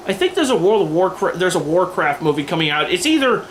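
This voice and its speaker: High-pitched man giving sardonic commentary. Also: sing-song, fast. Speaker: video commentator. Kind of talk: opinionated scripted-style commentary with ad-libs. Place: indoors, in front of a running TV. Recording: clear background noise, home camera.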